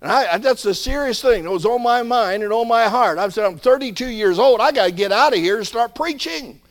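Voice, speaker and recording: A man in his sixties with a high-pitched voice, preaching as a pastor. He surges to forceful emphasis and then falls back to low, quiet asides, with the odd stammered restart as he builds a point. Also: lively intonation, fairly quick. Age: 60s. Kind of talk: sermon.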